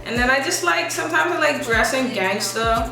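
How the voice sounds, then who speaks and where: Soft-voiced young woman, casual interview guest, recorded off-mic in a reverberant room with music playing. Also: high voice, normal speed, vocal fry. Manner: soft-spoken, relaxed, loose rambling phrasing. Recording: echoey room from a distance, music behind.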